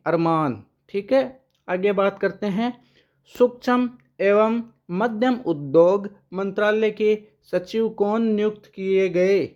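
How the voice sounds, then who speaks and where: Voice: medium pitch, darkish tone, lo-fi sound. A current affairs teacher giving an exam-prep lesson with memory tricks, who asks questions aloud, answers them and repeats the key names.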